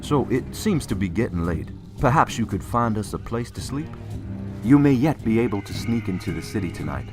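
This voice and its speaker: An actor playing a solemn, commanding ancient war leader of great faith in an audio drama, speaking in formal, stately phrases. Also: male voice, lowish voice, sing-song, fairly quick, music in the background.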